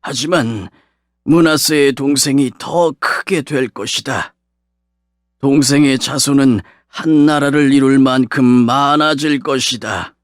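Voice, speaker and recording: A man playing an aged, dying patriarch who speaks solemnly and deliberately to his sons in a dramatized scripture audiobook. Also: medium pitch, dry recording, clean studio sound, natural voice. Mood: gentle, resolute.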